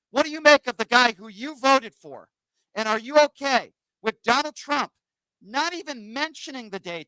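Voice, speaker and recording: A man's voice: very high voice, sharp tone, clear, resonant tone, no room sound, poor audio. A man hosting a political call-in radio show, combative and sarcastic, cutting across callers.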